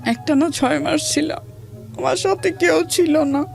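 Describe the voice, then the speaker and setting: A woman from Bangladesh with a Bangladeshi accent, telling a personal life story as an interview guest in plain, halting, colloquial speech. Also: sits high, gravelly, a little mellow, soft background music.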